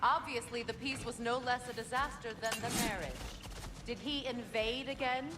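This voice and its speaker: An actress playing a proud, wary goddess in a video game, speaking in a curt, formal and commanding manner. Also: female voice, on the high side, normal speed, heavy background noise, close to an everyday voice. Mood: bitter, wary. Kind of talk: dramatic video game acting.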